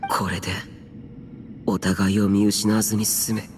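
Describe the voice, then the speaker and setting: A man's voice: fairly low pitch, level tone, unhurried, background noise, close to an everyday voice. A man voicing a youthful, aloof immortal warrior in a Japanese anime game, terse, solemn and archaic in speech. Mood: curt, stoic. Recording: music behind.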